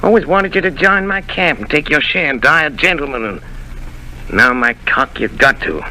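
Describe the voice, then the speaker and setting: An actor playing a cunning old male pirate leader in a 1930s radio drama, talking rough sailor's slang in a sly, coaxing way. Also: a little high, moderate pace, bright, forceful tone, a little hiss or hum, low-quality recording.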